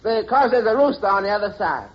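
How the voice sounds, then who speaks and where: A man's voice: high voice, lively intonation, fast. An actor playing a sly, skeptical waiter, dry and wry, as the straight man in 1940s radio comedy. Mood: wry, reluctant. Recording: very noisy, dull vintage radio recording.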